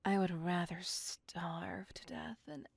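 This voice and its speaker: A woman playing a courtly, besotted, ancient female vampire in an ASMR roleplay, speaking in formal, ornate, breathy phrases that often sink toward a whisper. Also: lowish voice, slow pace, no room sound, exaggerated voice. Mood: enraptured. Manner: formal, old-fashioned, ornate, pleading speech. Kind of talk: ASMR roleplay, scripted monologue.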